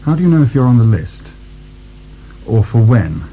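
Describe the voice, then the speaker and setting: A man's voice: mid-range voice, very animated, normal speed, vocal fry, dark tone, slight background noise, rough recording. A man acting in a radio drama as a weary, wry imprisoned French nobleman who speaks in a musing, hesitant way. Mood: subdued. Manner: hesitant, musing, wryly ironic.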